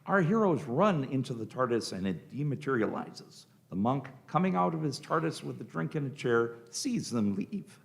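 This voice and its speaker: A man's voice: mid-range voice, big pitch swings, normal speed, gravelly, hardly any pauses. A man reading scripted stage directions as narrator of a radio play.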